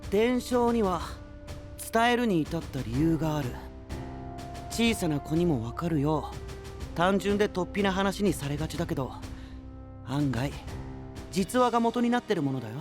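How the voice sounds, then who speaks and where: A man's voice: a little high, expressive, over music, close to an everyday voice. A man playing a composed, analytical young scholar in an anime-style audio drama, reasoning things out with confidence. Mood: thoughtful. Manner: measured, reasoning explanations.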